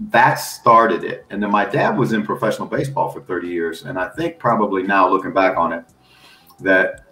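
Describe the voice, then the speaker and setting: A middle-aged American man, a football coach and analyst, telling stories in an animated, folksy way and laughing along. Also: sits low, moderate pace, echoey room. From United States. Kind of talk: casual storytelling on a podcast.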